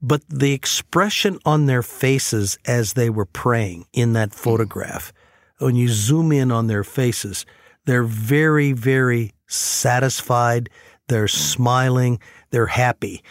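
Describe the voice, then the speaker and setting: A man's voice: mid-range voice, slow pace, dry recording, clean studio sound. A man telling stories conversationally and earnestly as host of a faith radio program. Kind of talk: conversational storytelling on a faith talk show.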